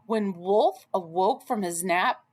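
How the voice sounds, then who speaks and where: A woman reading a children's story aloud as an expressive storyteller. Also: medium pitch, slow pace.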